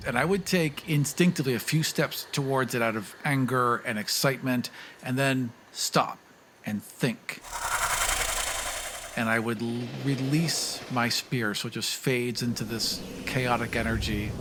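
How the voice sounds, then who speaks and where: A man's voice: medium pitch, a little slow, noisy background. A man playing a tabletop game, casually improvising his descriptions as he thinks aloud.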